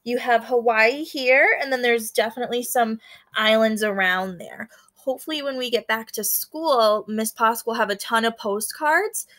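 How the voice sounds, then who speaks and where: A woman teacher reading a book aloud to fourth graders, pausing to explain and prompting them to notice and wonder. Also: fairly high pitch, fairly bright tone. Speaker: elementary school teacher. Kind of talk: read-aloud lesson for fourth graders, explaining as she goes.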